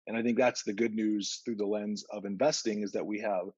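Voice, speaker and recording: A man's voice: on the low side, fairly even intonation, a little fast, darkish tone, slightly rough, no echo. A man giving methodical, conversational market commentary as an investment analyst on a conference call. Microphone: conference call line. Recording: dull, narrow conference call audio.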